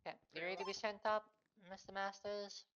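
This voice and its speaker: A woman playing a businesslike officer processing prisoners in online roleplay, brisk and procedural, over noisy voice chat. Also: medium pitch, little pitch movement, close to an everyday voice. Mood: casual. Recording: dull, noisy game voice chat with clicks.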